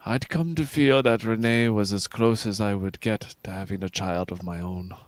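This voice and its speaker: A man playing a dignified, ageing, reserved starship captain with measured, formal delivery in a sci-fi radio theatre read. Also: fairly low pitch, no room sound, close to an everyday voice. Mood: grieving.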